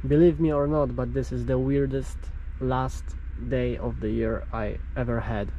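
A young man whose first language is Polish, a truck driver vlogging casually outdoors at parking spots. He talks in a halting way with many fillers. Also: medium pitch, fairly even intonation, warm tone, highs cut off. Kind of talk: casual vlog talk to camera. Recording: outdoor vlog audio with road noise.

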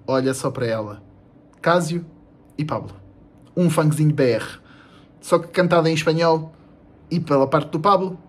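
A young adult man with a European Portuguese accent speaks casually to camera as a video creator. His delivery is animated, blunt and slangy, with swearing and emphatic repetition. He records himself at arm's length in a small room at home. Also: fairly high pitch. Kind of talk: casual vlog announcement to camera.

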